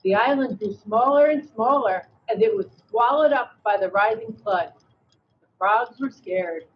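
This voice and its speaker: A woman co-hosting a read-aloud, reading a storybook expressively with a slightly husky voice. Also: fairly high pitch, measured pace. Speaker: read-aloud co-host, educator. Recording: dull video-call audio.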